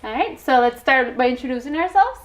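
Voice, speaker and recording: A woman's voice: sits high, animated, fairly quick. Upbeat woman hosting a community TV interview. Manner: encouraging, with frequent short affirmations. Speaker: host.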